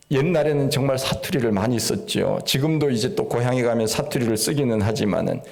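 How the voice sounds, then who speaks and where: A middle-aged pastor from Korea's Gangwon Province with a slight Gangwon accent, preaching a sermon in a folksy, storytelling way with rising emphatic peaks. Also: male voice, mid-range voice, creaky, a little mellow.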